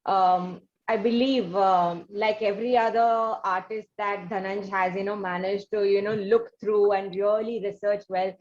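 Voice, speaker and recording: A woman's voice: mid-range voice, fairly even intonation, a little slow, few pauses, a little mellow. A woman with an Indian accent hosting a conversational interview in wordy, formal phrasing. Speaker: interviewer and show host. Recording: muffled audio with background noise.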